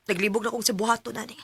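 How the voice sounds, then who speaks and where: A woman's voice: fairly high pitch, gravelly, pushed and bright, husky, no echo, exaggerated voice. A woman acting an anguished, lovesick young woman telling her story in a sentimental radio drama. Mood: distressed, confused. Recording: music bed under voice. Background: music.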